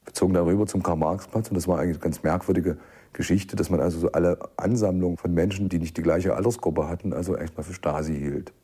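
Very deep, husky man recounting memories. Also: fairly even intonation, fast, warm tone. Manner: colloquial, anecdotal. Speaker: eyewitness interviewee. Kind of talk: spontaneous spoken recollection.

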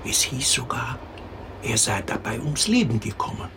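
An actor in a radio drama playing a storyteller who patiently tells a child a princess fairy tale, expressive and explanatory. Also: medium pitch, normal speed, close to an everyday voice. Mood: patient storytelling. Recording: noticeable background noise.

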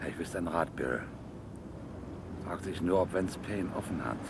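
An actor playing a scheming businessman in a radio crime drama, theatrical and condescending, smooth in company but bossy and irritable underneath. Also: sits low, normal speed. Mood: calculating. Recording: noisy radio play with vehicle sounds.

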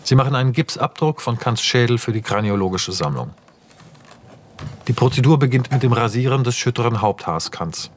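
A man narrating screenplay directions in a radio drama, in a measured, matter-of-fact way. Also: medium pitch, animated, slight background noise, close to an everyday voice. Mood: measured, sober. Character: sober narrator reading out screenplay scene directions.

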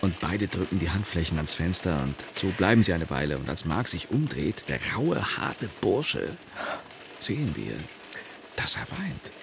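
An actor playing a wry, affectionate middle-aged husband who narrates a radio play in the first person. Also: male voice, sits low, big pitch swings, normal speed, background noise. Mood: reflective.

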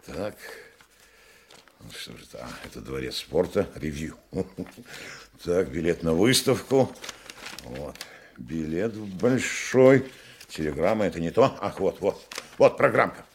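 An actor playing a talkative, cultured provincial hotel guest in a Russian radio play, exclamatory and slightly pompous. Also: male voice, low-pitched, big pitch swings, measured pace, pauses often, a little mellow, slight background noise, full character voice. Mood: flustered, searching.

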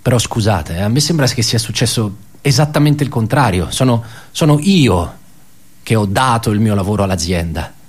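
An actor playing an embittered, pedantic male office worker in a radio theatre monologue, halting and repeating his words as he puts rhetorical questions. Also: lowish voice, lively intonation, slightly rough. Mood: indignant.

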